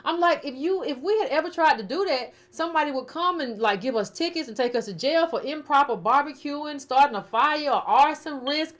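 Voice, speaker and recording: A woman's voice: high-pitched, expressive, a little fast, few pauses. A woman hosting casual opinion talk, colloquial and emphatic, repeating phrases as she builds a point. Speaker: host.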